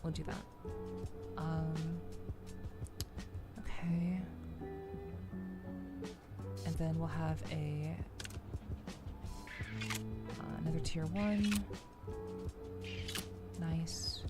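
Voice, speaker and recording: A woman hosting a soft-spoken ASMR gaming livestream, chatting casually with viewers and murmuring hesitantly as she thinks aloud, half whispering at times. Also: low voice, steady tone, extremely slow, pauses often. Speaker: VTuber livestream host. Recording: loud music behind the voice. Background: music.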